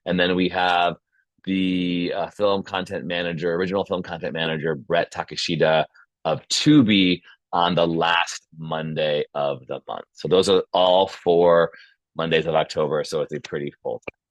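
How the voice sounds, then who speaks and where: Deep-voiced man hosting online. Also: steady tone, normal speed, no room sound. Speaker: host and founder of a film community. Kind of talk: casual hosting of an online video meeting. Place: online video call.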